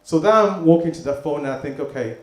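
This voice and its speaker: A man's voice: a little high, animated, fast. A man performing stand-up comedy, telling an anecdote in a conversational way. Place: live comedy venue, on stage. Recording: echoey room.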